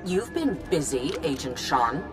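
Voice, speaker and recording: Imperious, low-pitched chancellor. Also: female voice, big pitch swings, a little slow. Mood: stern reproach. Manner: commanding, condescending, formal. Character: imperious female government chancellor, stern and cold. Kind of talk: video game voice acting. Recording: long hall reverb, music behind.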